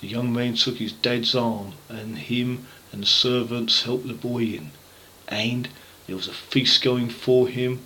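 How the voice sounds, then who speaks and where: Male storyteller for children's parable: medium pitch, forceful tone, deliberate, emphatic phrasing. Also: rough recording. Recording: faint background noise.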